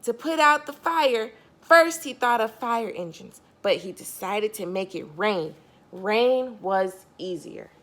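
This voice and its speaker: A woman's voice: medium pitch, expressive, takes it slowly, fairly bright tone. A woman reading a picture book aloud to young children, expressively, stressing key words and speaking directly to her listeners.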